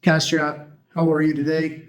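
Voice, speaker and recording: A man's voice: a little high, little pitch movement, normal speed, lo-fi sound. A man hosting a podcast interview, chatty and upbeat, with many ums. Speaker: podcast host.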